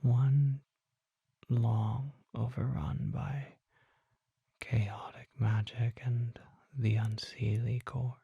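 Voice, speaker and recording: Performer acting out a secretive vampire apothecary, slow ASMR whisper, very breathy, formal, coy. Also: mid-range voice, level tone, dark tone, dry recording, muffled recording, heavily acted. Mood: hushed, intrigued. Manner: measured, formal, coy rhetorical questions. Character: mysterious vampire apothecary merchant, suave, secretive, unhurried. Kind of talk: ASMR roleplay, soft whispering.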